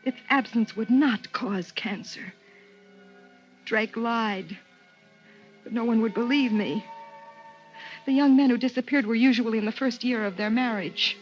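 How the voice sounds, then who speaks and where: Troubled wife in muffled vintage radio drama played by an actress. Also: female voice, fairly high pitch, unhurried, long pauses, close to an everyday voice. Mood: numb, bitter. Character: Rose, troubled married woman biologist, sharp and unflinching. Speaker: actress and first-person narrator. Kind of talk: 1950s radio drama acting. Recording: muffled 1950s radio recording with music.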